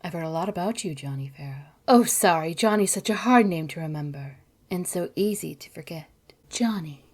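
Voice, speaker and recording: Lady playing a breathy noir femme fatale, low and taunting, old movie style. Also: animated, moderate pace, darkish tone. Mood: taunting bitter. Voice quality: breathy, half-whispered. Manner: taunting, bitter. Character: sultry bitter woman in 1940s film noir. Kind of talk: 1940s film acting.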